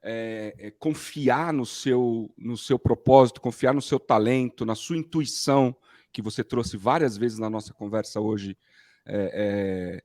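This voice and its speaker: A man's voice: mid-range voice, fairly even intonation, measured pace, darkish tone. A Brazilian man interviewing in a casual, informal and affirming conversational way. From Rio de Janeiro, Brazil. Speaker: interviewer, host.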